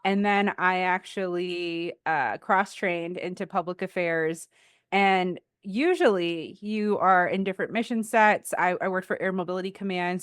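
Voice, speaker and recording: A woman in her thirties talks easily as a podcast guest, stopping and restarting often and reaching for her words with fillers. Her voice sits a little low and comes through smooth and clear. The sound is close and dry, with no room around it. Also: no room sound. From Philippines. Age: 30s. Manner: chatty, with frequent fillers and restarts. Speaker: guest, defense contractor and Air Force veteran. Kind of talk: casual interview conversation.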